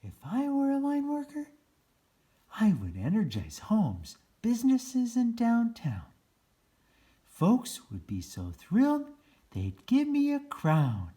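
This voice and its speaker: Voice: mid-range voice, huge pitch swings, takes it slowly, dark tone. Çok değişken tonlamalı, ağır tempolu çocuk kitabı okuyucusu. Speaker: children's story reader. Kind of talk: children's picture book read-aloud.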